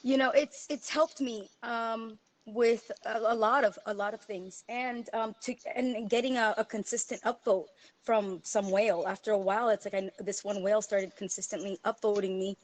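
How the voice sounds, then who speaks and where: A woman's voice: medium pitch, steady tone, normal speed. Woman, chatty guest, phone mic, casual. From Miami, USA. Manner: chatty, self-deprecating, many fillers. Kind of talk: casual chat.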